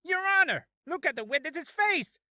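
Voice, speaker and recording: A man playing an excitable, flustered young defence lawyer from a video game, halting but given to loud protest. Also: extremely high pitch, no echo, clean studio sound, full character voice. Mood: indignant. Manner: halting, bursts into shouted protest. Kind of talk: video game character acting.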